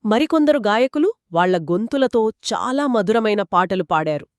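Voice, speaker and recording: A woman co-narrating a children's story in a conversational, expressive and engaging style. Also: mid-range voice, normal speed, no room sound, studio quality. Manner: expressive, engaging, acts out characters' quoted lines.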